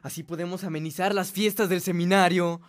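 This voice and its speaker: A man's voice: high-pitched, fairly even intonation, brisk, crowd noise, close to an everyday voice. A man playing an enthusiastic young seminary student in a radio drama.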